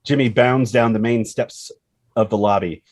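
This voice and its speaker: A man's voice: mid-range voice, steady tone, moderate pace, dry recording. A man narrating screenplay action and scene headings as a scripted table read. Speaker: narrator reading stage directions.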